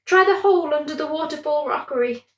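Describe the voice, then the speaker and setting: Woman putting on a squeaky little prawn. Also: fast, echoey room, natural voice. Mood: brusque, squeaky. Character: squeaky, unwelcoming little prawn in a bedtime story.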